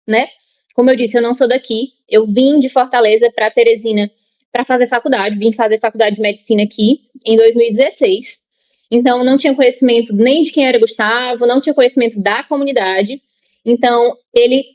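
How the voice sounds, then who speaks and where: A woman's voice: a little high, darkish tone, no room sound. A young woman from Ceará with a Cearense Brazilian accent, a podcast guest chatting casually with frequent fillers. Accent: Cearense Brazilian Portuguese. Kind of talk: casual conversational storytelling.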